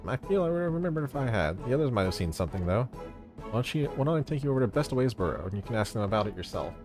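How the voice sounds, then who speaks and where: A man's voice: mid-range voice, very animated, racing, over music, natural voice. Man doing a friendly little moon rabbit. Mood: helpful.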